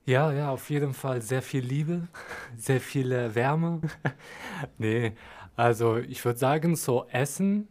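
A man's voice: mid-range voice, slow, darkish tone. Friendly man in his twenties. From Syria. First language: Arabic. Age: 20s. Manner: friendly, thoughtful, slightly non-native phrasing. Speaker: interview guest. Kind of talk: casual interview answers.

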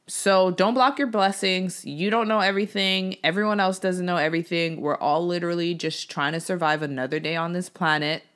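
A woman's voice: on the low side, steady tone, brisk, few pauses, fairly bright tone. A woman hosting a podcast in a casual, conversational way, addressing listeners in an informal, folksy style. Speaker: podcast host interviewing her father.

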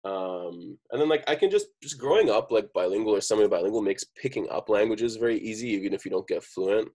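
A man's voice: fairly low pitch, animated, fairly quick, darkish tone. A man who works as a bartender, chatting casually and explaining at length with lots of fillers. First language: English. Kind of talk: casual conversation.

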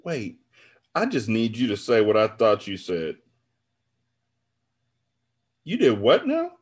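A man voicing a furious, foul-mouthed woman in an audiobook drama, loud, sassy and confrontational, bent on revenge. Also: lowish voice, huge pitch swings, takes it slowly, husky, full character voice. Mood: shocked, angry. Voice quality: loud, raised voice. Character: Alice, furious, foul-mouthed, vengeful woman betrayed by her friend.

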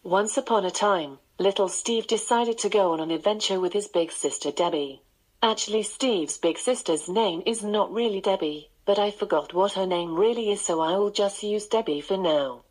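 A woman's voice: mid-range voice, normal speed, darkish tone, rough recording. A synthetic woman's voice of a voice assistant, narrating bedtime stories.

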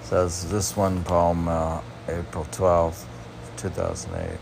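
A man reading poetry informally, halting and musing, talking back to himself in a gravelly voice. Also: low-pitched, fairly even intonation. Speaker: poet reading own work and commenting. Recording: noticeable background noise.

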